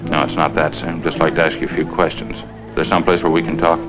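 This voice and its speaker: An actor playing a steady, low-key police detective in a 1950s drama, asking brief, plain questions. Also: male voice, quick pace, over loud music. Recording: dull, noisy vintage 1950s soundtrack.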